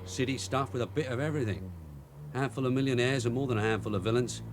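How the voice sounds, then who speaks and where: An actor playing a streetwise, bossy London detective sergeant in a radio drama, with a London accent and brisk, colloquial, teasing talk. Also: male voice, medium pitch, noisy background, close to an everyday voice. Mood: brisk, dry.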